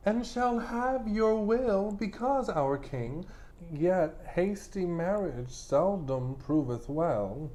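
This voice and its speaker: A performer acting a sly, ambitious, brooding royal brother with deliberate, insinuating verse delivery in a Shakespearean audio drama. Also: mid-range voice, lively intonation, warm tone. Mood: needling.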